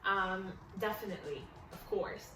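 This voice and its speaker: A woman's voice: mid-range voice, moderate pace, sharp tone. A woman in her early twenties from Egypt and the United States, a native Arabic speaker, chatting informally as an interview guest. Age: early 20s. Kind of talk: casual conversational interview answers. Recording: echoey room, distant mic, music behind. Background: music.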